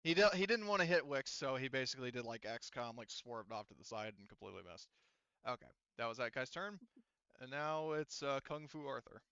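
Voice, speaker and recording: Guy game mastering a tabletop rpg, crisp bright voice, dry slightly muffled mic, swears casually. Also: mid-range voice, expressive, fairly quick, no echo. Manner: informal, sweary.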